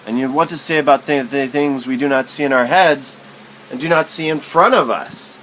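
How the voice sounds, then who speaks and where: A man's voice: mid-range voice, normal speed, a bit bright, slight background noise, low-quality recording. A man reading literary prose aloud as spoken word, in a musing, philosophical manner.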